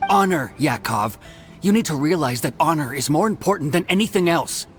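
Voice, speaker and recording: Game casting: male actor, stern honor-obsessed fighter, earnest and lecturing, a slightly raised pitch over faint music. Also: animated, moderate pace, close to an everyday voice. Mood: stern, reproachful. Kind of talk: video game character acting.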